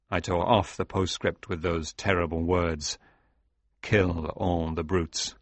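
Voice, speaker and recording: A man playing a sombre, reflective sailor recounting his past in a dramatised audiobook, speaking in a measured, grave way. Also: deep voice, no echo.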